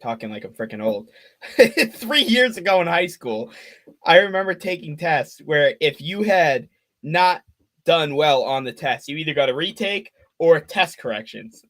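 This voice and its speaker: Bright-voiced young man calling a game. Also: fairly high pitch. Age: 20s. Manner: rapid call of the action mixed with chatty asides to viewers. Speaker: play-by-play streamer. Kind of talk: fan live-stream play-by-play commentary.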